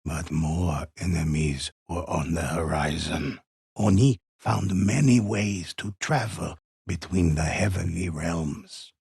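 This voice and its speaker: Casting: an aged, grave game storyteller for dark folk tales, gravelly and ominous, close dry sound, unhurried delivery. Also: male voice, low voice, dry recording, exaggerated voice. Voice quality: gravelly, husky. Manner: solemn, deliberate, ominous. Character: grave old male storyteller narrating a dark legend of ghost warriors. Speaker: narrator. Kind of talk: dramatic scripted game narration, folk-tale telling.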